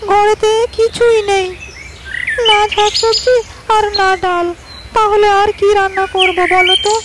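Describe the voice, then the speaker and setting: A woman's voice: very high-pitched, heavy background noise. A woman voicing a worried, complaining wife of a poor village farmer in a cartoon moral story. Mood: resigned complaint. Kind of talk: animated moral story dialogue.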